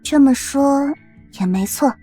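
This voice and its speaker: A woman's voice: sits high, a little slow, dark tone. A woman playing a cheerful, blunt college student in an audio drama. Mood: matter-of-fact. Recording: faint music behind.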